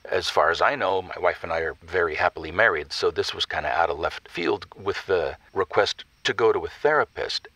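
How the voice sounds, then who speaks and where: A man playing an earnest, baffled husband calling a radio show, halting and stammering with sudden emphasis. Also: sits low, expressive, pushed and bright, dry recording, heavily acted, poor audio. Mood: baffled, reluctant. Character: earnest, baffled, reluctant married man caller. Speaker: caller. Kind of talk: audio drama phone-in.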